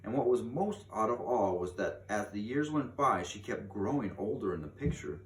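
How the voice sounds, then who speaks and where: A man voicing a very old, grave and insistent grandmother in a storybook, with emphatic, deliberate delivery. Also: lowish voice, expressive, natural voice. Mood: mysterious. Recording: echoey room, distant mic, faint noise.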